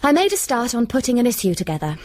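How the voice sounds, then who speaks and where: A woman's voice: fairly high pitch, big pitch swings, fast, darkish tone, no room sound. Young british woman, bit down on herself, wry radio drama narrator, magazine journalist type. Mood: wry, reflective. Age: young adult. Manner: wry, self-deprecating. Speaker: lead actress and narrator.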